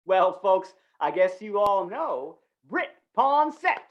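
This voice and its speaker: A flustered small-town fair announcer voiced by a woman in an old western radio play. The delivery is dithering and folksy, with very animated, swooping pitch. The live recording sounds slightly dull and lo-fi. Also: over a noisy crowd. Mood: fussy, genial. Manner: dithering, halting, folksy, repeats words.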